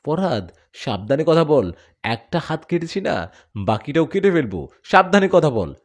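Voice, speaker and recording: A man's voice: a little high, sing-song, moderate pace, pitched above the performer's own voice. A man voicing a devoted young male jinn warrior who pleads and argues in a spoken story. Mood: earnest. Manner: earnest, pleading.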